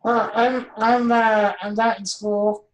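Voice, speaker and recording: A woman's voice: on the high side, flat delivery, slow, roomy sound. A blunt woman chatting on a group call, outspoken and free with swearing. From New York State. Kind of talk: casual chat.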